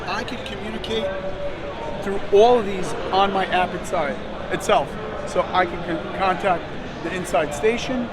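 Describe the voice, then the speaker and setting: Male demonstrator, high voice, informal halting walkthrough, recorded on a very noisy exhibition floor. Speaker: product demonstrator. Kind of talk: informal spoken product demonstration. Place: busy trade-show exhibition floor. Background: loud ambient trade-show noise.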